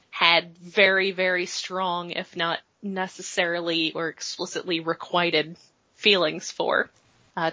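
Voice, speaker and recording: Brief: woman podcast host, bright and a little husky, chatty and rambling, dry lo-fi sound. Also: fairly low pitch, dry recording. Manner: chatty and rambling, full of fillers. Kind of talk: casual chat, recapping comics. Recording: dull lo-fi podcast audio.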